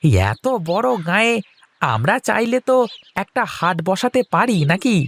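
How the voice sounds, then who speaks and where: A man's voice: high voice, expressive, moderate pace, a bit bright. An actor playing a chatty, scheming younger village man in a cartoon folktale. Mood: eager, persuading. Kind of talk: Bengali cartoon folktale dialogue.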